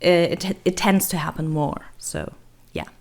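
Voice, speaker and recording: Hoarse, chatty woman vlogger with vocal fry. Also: fairly low pitch, a little slow. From Germany. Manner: chatty, rambling, self-deprecating. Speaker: travel vlogger. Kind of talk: voice-over narration.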